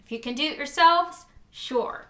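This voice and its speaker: Woman as a curt, reluctant landlord, bright-toned. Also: high-pitched, moderate pace, echoey room, slight background noise. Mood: grudging. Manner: curt, hesitant, shrugging. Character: dismissive, reluctant male landlord, adult. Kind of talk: children's storybook character reading.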